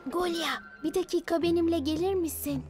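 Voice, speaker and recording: Very high-voiced eager teen monster girl. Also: fairly even intonation, fairly quick, music in the background. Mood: encouraging. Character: sweet, eager, naive teenage monster girl. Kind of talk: Turkish cartoon dub.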